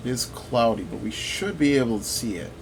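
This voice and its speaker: Guy rambling thru a game lets play, average pitch, thinking out loud, noticeable hum behind him. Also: lively intonation, brisk. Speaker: gaming video host. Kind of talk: casual gameplay commentary.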